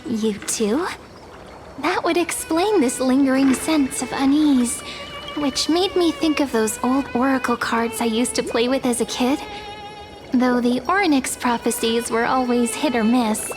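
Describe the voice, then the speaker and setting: A dreamy young village woman in a fantasy game. Her voice is high and soft, with a thoughtful, reflective delivery over clear music. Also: measured pace. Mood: pensive. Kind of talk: scripted game dialogue.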